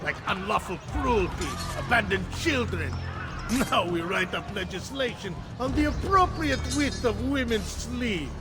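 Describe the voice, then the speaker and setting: An actor playing a talkative, debt-ridden senator in a video game, with an Italian accent and a theatrical, rambling, wisecracking delivery. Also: male voice, high-pitched, lively intonation, measured pace, over music. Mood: fretful, wry.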